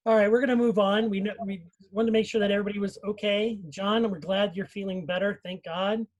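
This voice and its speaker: A woman hosts an online panel in a casual, conversational way. She has a mid-pitched voice and moderates, passing audience questions along to the group. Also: steady tone, brisk, darkish tone. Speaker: host of a live cast panel. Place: live online group stream.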